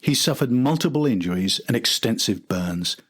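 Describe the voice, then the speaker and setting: Male podcast narrator, older, mid-pitched and a touch husky, delivering scripted documentary storytelling. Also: lively intonation, moderate pace.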